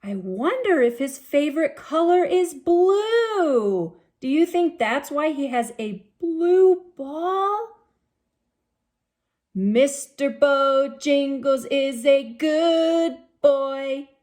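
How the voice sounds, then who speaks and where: High-pitched woman reading slowly to children. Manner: expressive, sing-song on refrains, addresses children directly. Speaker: children's storybook reader. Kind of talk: read-aloud storytelling for young children.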